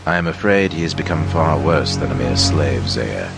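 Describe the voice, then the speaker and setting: An actor playing a grave, ominous man in a game cutscene, speaking in a solemn, measured way. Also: rumbling low, creaky. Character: grave, ominous man revealing dark news. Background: music.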